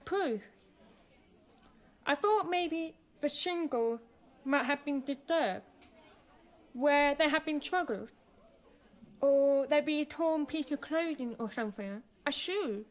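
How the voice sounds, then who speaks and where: A woman's voice: high-pitched, lively intonation, takes it slowly, pauses often, low-quality recording. An actress playing a stubborn, sullen deaf teenage girl in a naturalistic radio drama, speaking bluntly. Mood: defensive, earnest. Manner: blunt, terse.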